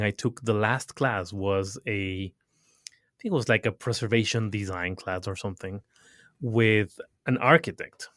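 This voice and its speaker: Man, low and dry. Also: fairly bright tone, no echo. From Ecuador. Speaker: guest, retail consultant. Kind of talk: casual interview chat. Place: recording studio.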